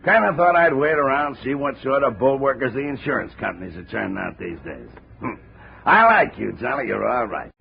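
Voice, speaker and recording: A gruff, wry American country lawman in a vintage radio play. His mid-pitched voice is gravelly and folksy, over a dull recording with some hiss. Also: expressive, brisk. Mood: wry, approving. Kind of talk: 1950s radio drama acting.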